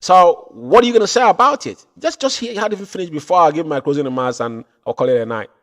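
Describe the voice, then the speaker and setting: A man hosting a political commentary show from home, building into loud, impassioned rants. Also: on the high side, expressive, fast talker. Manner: rises to loud impassioned ranting. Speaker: show host.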